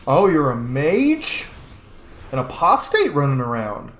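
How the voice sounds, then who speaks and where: A man's voice: a little high, wildly swooping pitch, unhurried, a bit bright. A man giving casual, informal, sometimes sweary commentary on a video game he is role-playing. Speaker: gaming streamer, role-player. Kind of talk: casual game playthrough commentary with in-character replies. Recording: roomy echo with faint background noise.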